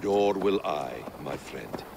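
Grave, low-voiced scholar-warrior. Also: male voice, animated, vocal fry, background noise, full character voice. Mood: reflective. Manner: measured, eloquent, theatrical. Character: worldly, thoughtful Middle Eastern scholar-warrior, grave and poetic. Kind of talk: dramatic video game acting.

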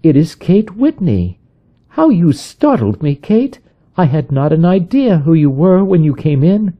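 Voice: medium pitch, sing-song, measured pace, lo-fi sound, voice pushed up. A man doing a kindly comforting wife. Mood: surprised. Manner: warm, soothing, raised voice.

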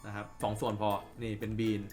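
A man's voice: lowish voice, monotone, fast, a little mellow, faint music behind. A man giving an informal drawing tutorial as the teacher, repeating phrases for emphasis and often checking in with listeners. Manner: checks in with listeners with frequent tag questions.

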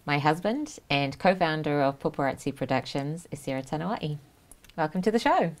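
A woman, hosting a studio talk show and answering questions in a measured, thoughtful way with frequent ums. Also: deep voice, lively intonation. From New Zealand. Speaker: host. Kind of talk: studio interview chat. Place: small studio set.

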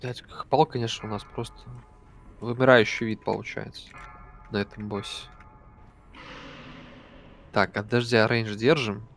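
A man leading a game raid over voice chat, giving brisk commands and swearing casually. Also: on the low side, little pitch movement, vocal fry, fairly bright tone, soft background music. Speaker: raid leader.

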